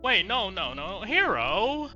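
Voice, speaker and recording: A man doing expressive, emotional gameplay commentary as a streamer, casual and sweary. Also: sits high, slow pace, faint music behind. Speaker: let's play streamer. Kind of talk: gameplay commentary with reading aloud of dialogue choices. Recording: dull lo-fi mic, faint noise.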